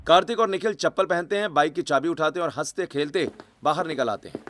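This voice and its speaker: A man's voice: on the high side, fast talker, fairly bright tone, a little hiss or hum. A man reading out scripted story narration.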